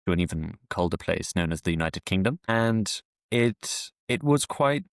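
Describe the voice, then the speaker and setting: A man born in France, a historian answering interview questions at a measured pace, often restarting phrases with fillers. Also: deep voice, expressive, creaky, no room sound, clean studio sound. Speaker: podcast guest, historian. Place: London, UK.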